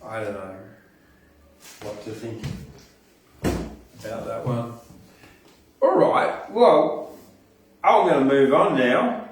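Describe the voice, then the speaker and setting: Slow-speaking man in a very echoey room. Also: mid-range voice, very animated, slight background noise. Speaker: poetry podcast host and reader. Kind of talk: poetry recitation with casual asides between poems. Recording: echoey room, distant from the mic.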